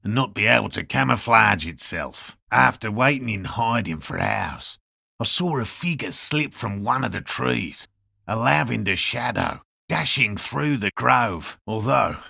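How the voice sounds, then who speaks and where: A man playing an earnest, slightly defensive cryptozoologist in a video game, with a raspy, gravelly voice and a measured, academic, matter-of-fact manner. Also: lowish voice, expressive, bright, forceful tone, no echo, clean studio sound, full character voice. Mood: reflective, dry. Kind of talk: video game character dialogue.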